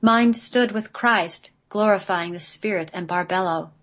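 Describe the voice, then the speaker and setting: A woman reading radio play narration in a measured, solemn manner. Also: mid-range voice, fairly bright tone. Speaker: guest, playwright reading own play.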